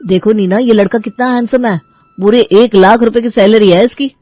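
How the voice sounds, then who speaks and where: A woman's voice: on the high side, steady tone, moderate pace, warm tone, no room sound, faint music behind, close to an everyday voice. Woman voicing a dutiful, exasperated young brother. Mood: persuading. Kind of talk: Hindi cartoon story voice acting.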